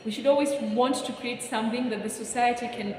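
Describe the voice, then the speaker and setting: A higher-pitched Kenyan woman talks through a critical review in an earnest, emphatic way, repeating phrases to press her point. Her voice rings heavily around a large hall, with a crowd clearly audible behind her. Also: steady tone, fast. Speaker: theatre critic and review show host.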